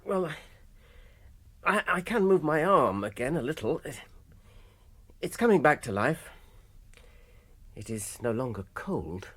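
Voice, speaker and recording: Gentle british hobbit fella, radio play, earnest, tired n hesitant, voice goes up and down a lot. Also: male voice, fairly high pitch, breathy edge, exaggerated voice. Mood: tentative. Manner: hesitant, halting, expressive. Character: earnest, gentle male hobbit adventurer, weary and anxious. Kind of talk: radio drama acting.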